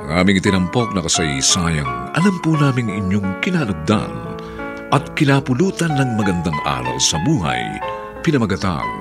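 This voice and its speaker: A man reading scripted closing announcements and cast credits as a radio drama announcer. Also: fairly low pitch. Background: music.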